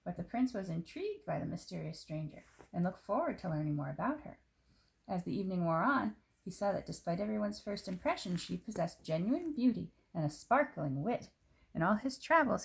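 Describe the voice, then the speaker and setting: Animated woman storyteller with creaky edges. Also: medium pitch, fairly quick, low-quality recording. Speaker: storyteller reading a picture book. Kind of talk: expressive children's story narration.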